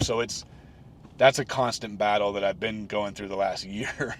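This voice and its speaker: 40s guy musing on his podcast, lowish voice, keeps restarting, faint car hum. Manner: rambling, many restarts and fillers. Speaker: podcast host. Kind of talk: solo reflective podcast talk.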